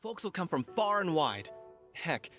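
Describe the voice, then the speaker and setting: An actor playing an earnest young martial arts disciple, a matter-of-fact striver in a video game. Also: male voice, a little high, sing-song, a little slow, sharp tone, exaggerated voice. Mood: hopeful. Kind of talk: video game dialogue acting. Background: game music.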